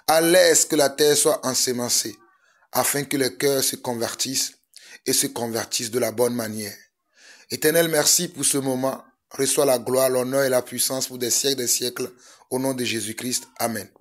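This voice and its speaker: A man's voice: medium pitch, moderate pace. A man from Togo, preaching a fervent sermon that mixes prayer with urgent exhortation and repetition. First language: a Togolese language.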